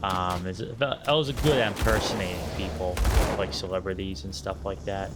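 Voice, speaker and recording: A man's voice: sits low, steady tone, moderate pace, very noisy. A man chatting casually on a stream, loose and teasing, with plenty of fillers, into a desk microphone. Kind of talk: casual chat.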